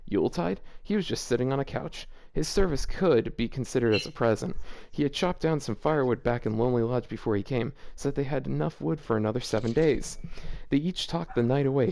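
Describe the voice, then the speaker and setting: A man with an ordinary mid-pitched voice reads a fan story aloud in a loose, casual way. He keeps stopping to laugh and mock the writing. The tone stays chatty and amused. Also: fast talker, gravelly, few pauses, darkish tone. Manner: amused, laughing, mocking asides. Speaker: host reading fanfiction aloud. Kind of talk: casual reading with joking commentary.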